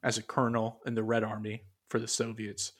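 A man with a fairly low voice talks in a loose, rambling way, thinking out loud. He pauses on fillers and restarts his sentences while working through his notes. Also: monotone. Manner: muses aloud while reading from notes. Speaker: podcast co-host. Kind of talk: casual podcast chat.

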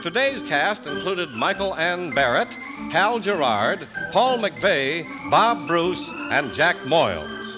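Male vintage radio announcer, high lively voice, slow delivery, music behind. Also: rough recording. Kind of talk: 1950s radio announcing, sponsor reads. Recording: old radio broadcast with music.

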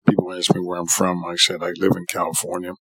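A man's voice: low-pitched, monotone, quick pace, breathy edge, no echo. A middle-aged man, telling his own story for a podcast in an earnest way, often rephrasing for emphasis. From New York City, United States. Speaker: podcast guest, surgeon and professor. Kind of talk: autobiographical monologue for a podcast.

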